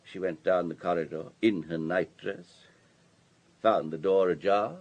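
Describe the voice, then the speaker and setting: A man's voice: low voice, measured pace, a little mellow, highs cut off. An actor in a radio drama playing an urbane, wealthy businessman with a polished, courteous, storytelling manner. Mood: confiding, measured. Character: urbane, wealthy older businessman, discreet and self-assured.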